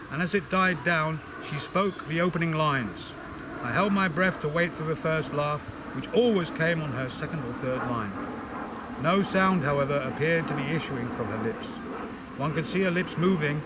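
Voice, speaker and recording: Older guy reading out loud, kinda high voice, measured and dramatic, noisy buzzy home recording. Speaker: amateur reader introducing and reading a book extract. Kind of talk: expressive literary reading aloud.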